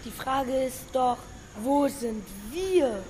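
A young-sounding female performer playing a plucky schoolchild in an amateur radio play, speaking slowly and deliberately over background noise. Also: high-pitched, expressive, darkish tone. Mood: puzzled. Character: plucky schoolchild stranded on a pirate island. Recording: clearly noisy, roomy recording.

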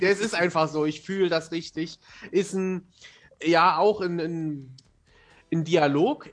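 A man's voice: a little high, a bit bright. A man from eastern Germany talking casually as an author being interviewed on a podcast, thoughtful and self-deprecating.

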